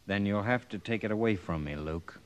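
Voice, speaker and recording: An actor playing a proud, stubborn young drifter who answers in a guarded, terse way in a 1950s radio western. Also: male voice, on the low side, fairly quick, clear, resonant tone, lightly acted. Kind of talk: 1950s radio drama acting.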